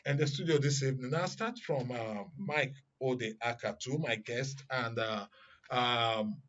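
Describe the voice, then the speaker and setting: A man with a Nigerian accent hosting a current affairs discussion in a studio, speaking haltingly as he searches for words. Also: mid-range voice, a bit bright. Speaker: studio host. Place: radio and TV studio. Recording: dull, lo-fi broadcast sound.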